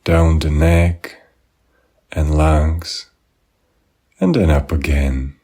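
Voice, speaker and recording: A man leading a guided meditation, giving gentle, unhurried instructions with pauses. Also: very deep voice, steady tone, breathy edge. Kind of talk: guided body scan meditation.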